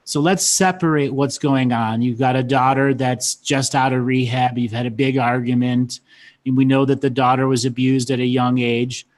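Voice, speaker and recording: A man's voice: medium pitch, fairly even intonation, moderate pace. A man hosting a live call-in show, friendly and guiding, patiently repeating his questions to callers. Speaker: host.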